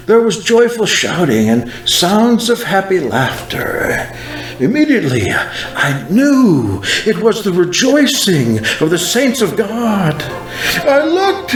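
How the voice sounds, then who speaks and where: A man's voice: sits high, heavily acted. A man playing a fervent mid-century evangelist recounting an apocalyptic vision in a solemn, dramatic monologue. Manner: solemn, slow, dramatic storytelling. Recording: roomy echoing voice over music. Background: music.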